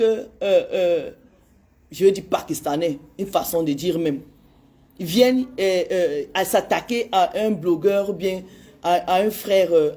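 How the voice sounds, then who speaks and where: A woman's voice: medium pitch, dark tone. Impassioned woman in her forties. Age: 40s. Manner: impassioned and pleading, repeats phrases. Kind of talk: direct address to followers on social media.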